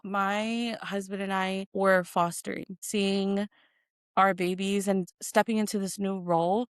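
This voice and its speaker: Smooth-voiced woman telling personal stories. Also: mid-range voice, no room sound, clean studio sound. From Hawaii and American Samoa. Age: 30s. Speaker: interview guest, content creator and performer. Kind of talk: casual personal storytelling in an interview.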